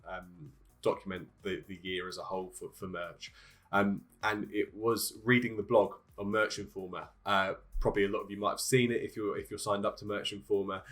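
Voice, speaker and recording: Man hosting a tutorial, nasal from a cold, lower voice, halting with fillers. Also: fairly bright tone. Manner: hesitant, many fillers and restarts. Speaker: online business tutorial host. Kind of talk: casual screen-share tutorial.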